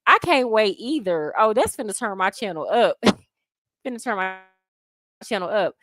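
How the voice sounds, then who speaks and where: A young American woman hosting a casual livestream, chatty and slangy, answering viewers' questions with animated asides. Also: mid-range voice, unhurried, dry recording, poor audio. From United States. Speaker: livestream host answering chat. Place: home abroad.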